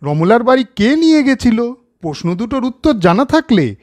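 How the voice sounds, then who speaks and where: A man's voice: very high voice, very animated, moderate pace, a little mellow, no room sound. A man narrating a moral cartoon story, speaking directly and in a friendly way to children.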